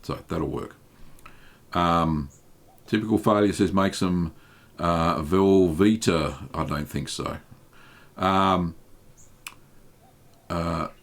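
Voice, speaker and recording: Low-voiced host, easygoing live-stream Q&A, lots of ums. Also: male voice. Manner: relaxed, chatty, many ums and uhs, light laughs. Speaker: cheesemaking channel host. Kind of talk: live-stream Q&A answering chat questions.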